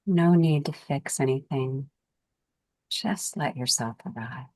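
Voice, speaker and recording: A woman leading a guided meditation as its guide, speaking calmly and soothingly in gentle, unhurried phrases with pauses. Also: a bass voice, no echo.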